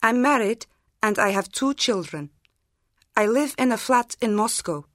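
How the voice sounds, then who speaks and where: A thirty-year-old married teacher introducing herself. Her voice sits slightly high, and she speaks in brisk, even sentences. The studio is dry. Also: no echo. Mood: plain. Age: 30s. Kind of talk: scripted language-course monologue.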